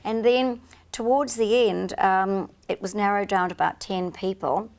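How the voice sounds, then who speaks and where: A woman's voice: mid-range voice, steady tone, measured pace, darkish tone. A woman, a guest on a chat show, talking confidently and chattily and often laughing at her own remarks. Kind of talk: casual chat interview.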